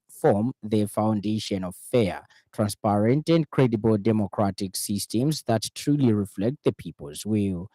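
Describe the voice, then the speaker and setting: A man with a Zambian accent reading a scripted radio news bulletin in a formal, measured way as the anchor. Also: on the low side, mellow, dry recording.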